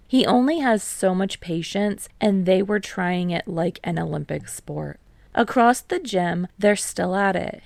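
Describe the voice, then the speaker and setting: Low-pitched woman narrating fiction, close and dry. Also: gravelly, a little mellow, no echo. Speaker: audiobook narrator of fan fiction. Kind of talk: scripted story narration.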